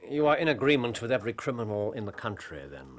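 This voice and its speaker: An actor playing a shrewd, confident Victorian detective in a period drama, with an English accent and a theatrical, incisive, deliberate delivery. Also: male voice, mid-range voice, very animated, close to an everyday voice. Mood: probing, cool. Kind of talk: period radio drama dialogue. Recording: clearly noisy, ambient background.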